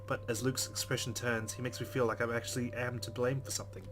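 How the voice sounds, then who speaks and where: A man's voice: mid-range voice, flat delivery, fast, a little hiss or hum. A man playing a visual novel, reading its narration and adding wry, amused asides. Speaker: let's play gamer. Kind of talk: reading game text and reacting.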